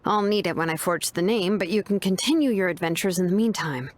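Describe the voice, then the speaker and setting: A woman's voice: fairly low pitch, lively intonation, brisk, close to an everyday voice. A woman playing a laid-back, sincere young craftswoman in an anime game, speaking in a casual, frank way with slight hesitation. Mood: earnest.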